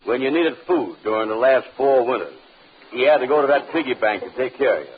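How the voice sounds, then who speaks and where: Casting: slippery, excitable lawyer type for old radio sitcom, rapid folksy patter, thin hissy broadcast sound. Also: male voice, mid-range voice, slightly rough. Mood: smooth-talking, persuasive. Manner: folksy, ungrammatical, insistent. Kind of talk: 1950s radio situation comedy acting. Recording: tinny old radio recording, faint hiss.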